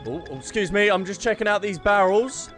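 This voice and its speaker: A man's voice: high voice, fairly quick, fairly bright tone, faint music behind. A man doing casual, jokey let's play commentary as a gaming creator, playfully talking to the characters.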